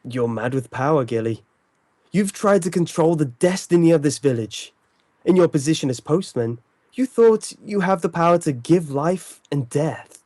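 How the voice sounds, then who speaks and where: Commanding, mellow-voiced detective. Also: male voice, a little high, animated, moderate pace, no echo. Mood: stern, accusing. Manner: authoritative, formal, theatrical. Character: commanding, incisive male detective, calm and stern. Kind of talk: old-time radio drama acting.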